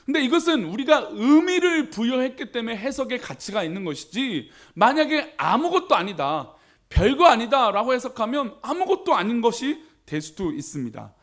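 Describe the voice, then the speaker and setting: A man's voice: extremely high pitch, sing-song, normal speed, fairly bright tone. A male pastor preaching a sermon in a conversational way, often asking the congregation questions.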